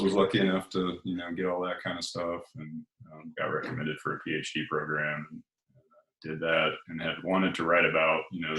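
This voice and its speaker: A man in his forties speaks as an interview guest in a very low, deep monotone. His delivery is relaxed and hesitant, full of fillers. The sound carries a roomy echo. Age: 40s. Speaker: guest, Air Force officer and author. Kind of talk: casual interview chat.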